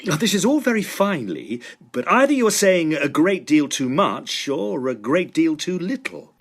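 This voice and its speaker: A man's voice: fairly high pitch, big pitch swings, a little slow, heavily acted. A man playing a sceptical, self-assured young student in a period drama, with formal, articulate phrasing. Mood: dismissive, confident. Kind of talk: dramatised first-person period narration and dialogue.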